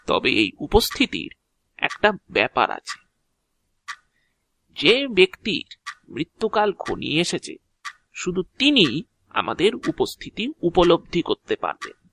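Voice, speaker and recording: Male actor as a courteous death messenger, audio drama, very animated pitch, slow deliberate delivery, faintly amused, bureaucratic. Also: vocal fry, husky, no room sound, clean studio sound, exaggerated voice. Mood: solemn, patient. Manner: measured, theatrical, explanatory, with deliberate pauses. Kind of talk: Bengali audio drama acting.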